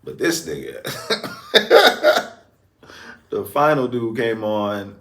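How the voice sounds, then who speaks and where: A man's voice: medium pitch, very animated, very slow, a bit bright. A man giving casual, slangy reaction commentary in an amused, informal way. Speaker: reaction video host.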